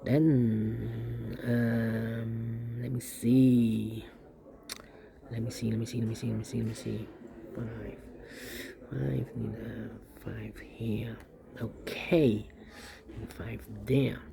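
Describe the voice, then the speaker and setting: A man's voice: mid-range voice, little pitch movement, takes it slowly, creaky, long pauses, a crowd in the background. A man giving a step-by-step puzzle tutorial, thinking aloud and often repeating short phrases as he mutters to himself. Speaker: puzzle instructor.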